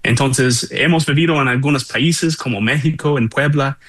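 A man's voice: medium pitch, moderate pace, a bit bright, no room sound. A man from Texas, a missionary guest answering interview questions earnestly, with some restarts. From Texas, United States.

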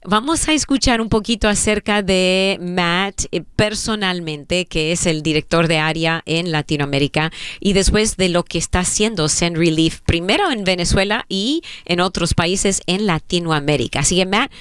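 Smooth low-voiced female radio host. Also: dry recording, studio quality. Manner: warm measured delivery with frequent fillers.

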